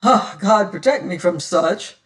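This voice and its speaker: A man's voice: sits high, expressive, unhurried, a bit bright. A man reading a story as a bitter, hot-tempered adventurer who accuses and defies in archaic speech. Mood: angry defiant. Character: indignant, hot-tempered, proud male adventurer, bitter and distrustful. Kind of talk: dramatised audiobook reading.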